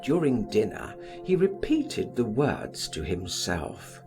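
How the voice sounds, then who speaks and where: A man reading a literary story as an audiobook, with measured, expressive dramatic delivery. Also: mid-range voice, faint music behind. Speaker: audiobook narrator.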